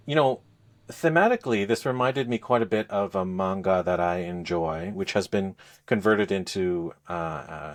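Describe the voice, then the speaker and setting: A man speaking as a podcast co-host, explaining things in a casual, halting, conversational review style. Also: lowish voice. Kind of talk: casual game review chat.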